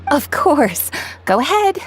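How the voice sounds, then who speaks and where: A woman's voice: high voice, very animated, takes it slowly, full character voice. A woman playing a friendly, businesslike clothing shopkeeper in a video game, polite and coaxing. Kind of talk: video game character acting. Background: faint music.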